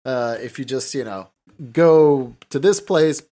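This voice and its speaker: A male podcast co-host talks through an old film in a casual, mocking way. His pitch sits in an ordinary middle range, and he slips into informal paraphrases of the characters with some swearing. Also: unhurried, dark tone.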